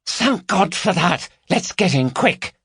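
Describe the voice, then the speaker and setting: A man's voice: high-pitched, big pitch swings, takes it slowly, a bit bright, slightly rough, no echo, clean studio sound, pitched above the performer's own voice, full character voice. A man voicing an anxious, foul-mouthed woman burglar in an audiobook, urgent and complaining. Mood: nervous urgency.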